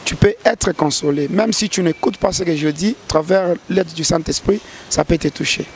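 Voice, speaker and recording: A man's voice: a little high, normal speed. A man talks as a gospel singer in an interview. He answers earnestly, stumbling and restarting his words as he thinks. A faint noise hums under the recording. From DR Congo. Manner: earnest, hesitant with repeated restarts.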